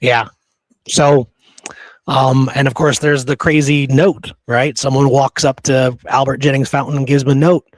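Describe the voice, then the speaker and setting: A man's voice: mid-range voice, level tone, moderate pace, dry recording, low-quality recording. A man co-hosting a history podcast in a casual, conversational style.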